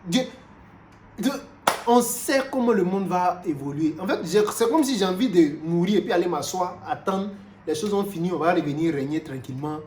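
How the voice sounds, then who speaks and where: A man from Cameroon, preaching a sermon in an animated way, moving from loud exclamations to quiet asides and repeating himself often. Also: sits high, moderate pace. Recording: roomy echo with faint background noise.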